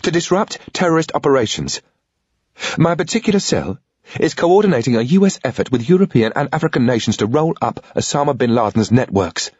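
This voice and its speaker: A man's voice: mid-range voice, big pitch swings, fairly quick, a little mellow, breathy edge, no room sound, highs cut off, voice pushed up. A man voicing a determined young British woman agent who talks in an insistent, earnest, persuasive way.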